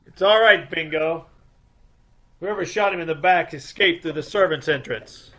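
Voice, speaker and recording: A man playing a brisk, wisecracking private detective in an old-time radio mystery. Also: a little high, pushed and bright. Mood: wry, steady. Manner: clipped, wry interrogating.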